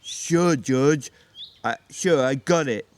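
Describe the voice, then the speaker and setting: Man acting a slow, childlike simpleton. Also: mid-range voice, darkish tone, a little hiss or hum, close to an everyday voice. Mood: slow, droning. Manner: slow, halting, stammering. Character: simple-minded big childlike man, eager and forgetful.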